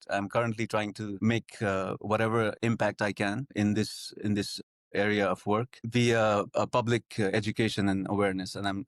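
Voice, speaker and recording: Hesitant man with a low, dry voice. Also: dry recording. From Nepal. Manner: hesitant, many fillers and restarts. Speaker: guest. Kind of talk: conversational interview.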